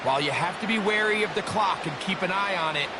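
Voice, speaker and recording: A man's voice: sits high, fast, heavy background noise, lightly acted. A man with an American accent voicing a folksy football color analyst who explains the game with emphasis. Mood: explanatory. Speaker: color commentator. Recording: loud music behind the voice.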